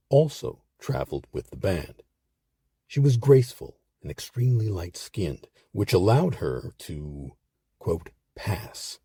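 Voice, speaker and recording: A man narrating scripted history as a documentary host, with measured, emphatic storytelling. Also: deep voice, dark tone, breathy edge, dry recording, clean studio sound.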